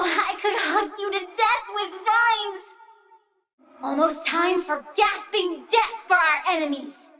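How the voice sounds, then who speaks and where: A tiny, bratty plant-girl villain from a video game. The voice is extremely high and sharp, taking its time over each threat. It has a childlike petulance and an eager, sing-song delight in cruelty. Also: recorded from a distance, full character voice, low-quality recording. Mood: earnest, cutesy. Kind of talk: video game character voice lines.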